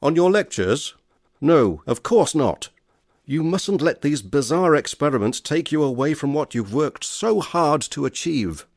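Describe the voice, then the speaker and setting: A man playing a paternal, authoritative older Victorian gentleman with a creaky voice, in stage drama style. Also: on the high side, expressive, a little slow, dry recording, clean studio sound. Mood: stern, concerned.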